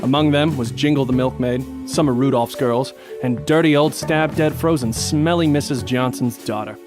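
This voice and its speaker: A man playing a determined, hard-boiled police detective in a noir radio drama, narrating and speaking in a terse, deadpan way. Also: mid-range voice, normal speed, natural voice. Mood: grim, determined. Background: music.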